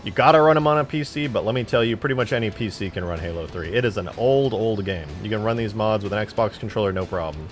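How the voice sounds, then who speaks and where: A man's voice: on the low side, fast talker, few pauses, faint music behind. A man in his twenties chatting casually on a live stream while modding a game, rambling, joking and swearing freely. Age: 20s.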